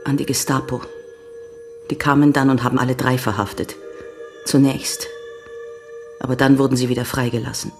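An actress playing a grave young American woman giving measured, sombre testimony in a German radio crime drama. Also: very deep voice, little pitch movement, faint music behind. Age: young adult. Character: grave young American woman recounting her father's betrayal. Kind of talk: German radio play acting.

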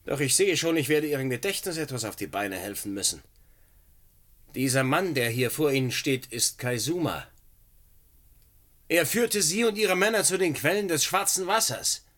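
A man's voice: a little high, animated, normal speed, fairly bright tone. An actor playing a stern, determined man with a formal, cutting manner in a German radio play.

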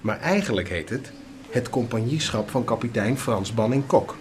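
A man's voice: mid-range voice, moderate pace, crowd noise, close to an everyday voice. A man playing a patient father who explains things to his young son in a radio drama. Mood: patient, instructive.

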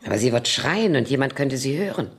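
A woman's voice: very deep voice, expressive, fairly quick. An actress playing a boozy, nervous older woman who is a sly, needling blackmailer in a theatrical 1970s German radio crime drama. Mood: suspicious.

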